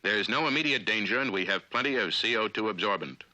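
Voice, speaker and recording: Forceful low-voiced American male narrator, 1950s film. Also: animated, no room sound, low-quality recording. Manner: authoritative, clipped, deliberate.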